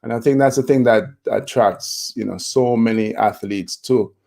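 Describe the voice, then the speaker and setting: A Jamaican man in his fifties, a motivational speaker, telling his life story in a relaxed interview with many fillers and restarts. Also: lowish voice, normal speed, gravelly. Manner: reflective, with frequent fillers and restarts.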